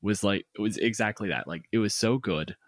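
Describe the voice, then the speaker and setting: A man with a fairly low voice talks casually in a very dry recording. He explains and argues his opinions like a podcast host among friends, cursing freely as he goes. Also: brisk, dry recording. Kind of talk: casual chat.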